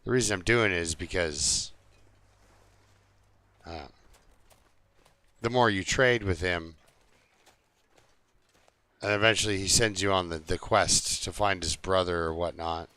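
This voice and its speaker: Low-voiced man streaming a game. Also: unhurried, pauses often, pushed and bright, dry recording. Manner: joking, easygoing. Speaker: live streamer playing a survival game. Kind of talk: casual rambling game commentary to viewers.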